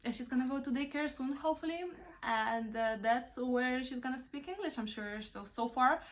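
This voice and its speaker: Young mom from ukraine, russian first language, kinda high voice, lots of ums, just chatting casually. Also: female voice, normal speed, a little hiss or hum. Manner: chatty, many ums and uhs, candid. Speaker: vlogger, mother. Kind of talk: casual Q&A while wrapping gifts.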